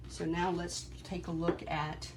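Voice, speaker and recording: A person giving an informal, halting technical demonstration in an explanatory way. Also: mid-range voice, level tone. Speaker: presenter demonstrating equipment. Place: small cluttered office workroom, indoors. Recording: faint background room noise.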